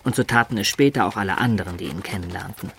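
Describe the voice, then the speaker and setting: A man's voice: sits low, fast, gravelly, fairly bright tone, slight background noise. An actor playing a medieval adventurer who recounts his student youth with wry, ornate storytelling in a literary radio drama. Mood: wry, reminiscing. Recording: studio radio drama with music beds.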